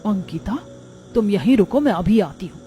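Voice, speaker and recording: A woman's voice: mid-range voice, expressive, normal speed, dark tone, a little hiss or hum, close to an everyday voice. A woman voicing a shrewd, supportive female neighbour in a cartoon, assertive and righteous. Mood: suspicious, determined.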